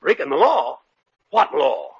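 An actor playing a pompous, lecherous older American judge, blustery and easily flustered, with a gravelly voice in old-time radio comedy. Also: male voice, a little high, wildly swooping pitch, unhurried, exaggerated voice. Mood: indignant. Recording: muffled old radio recording.

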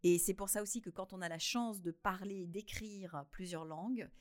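An expressive woman being interviewed, her voice jumping up in volume when she laughs or stresses a point. She hesitates and repeats words as she thinks aloud, so her talk comes in uneven bursts. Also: medium pitch, moderate pace. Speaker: guest author. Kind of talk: radio interview conversation.

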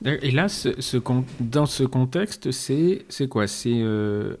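A man leads an interview, feeling his way through his questions with fillers and restarts. His mid-pitched voice has a gravelly fry and a warm, relaxed tone. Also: slow. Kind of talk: conversational interview.

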